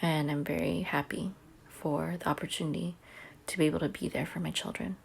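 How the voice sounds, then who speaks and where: A woman's voice: low voice, fairly even intonation, fairly quick, gravelly. A homeschooling mother telling a personal story to camera in a chatty, earnest way. She says she has a big voice.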